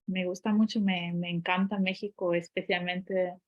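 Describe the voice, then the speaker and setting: A woman's voice: on the low side, little pitch movement, moderate pace. A woman talking casually in a second language, hesitating often with fillers and restarts. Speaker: guest polyglot. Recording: slightly dull lo-fi audio.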